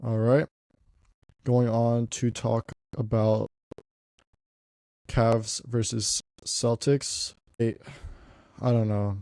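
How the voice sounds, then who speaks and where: A man's voice: medium pitch, little pitch movement, extremely slow, long pauses, dark tone, no echo. A young man hosting a podcast, talking casually about basketball, congested and stuffy, often repeating words as he goes. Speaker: podcast host.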